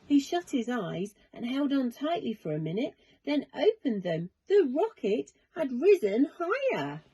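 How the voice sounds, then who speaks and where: Female children's storyteller: unhurried, animated, mellow tone with a slightly husky edge. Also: high voice, low-quality recording. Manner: expressive, measured, dramatic. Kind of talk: children's bedtime story narration.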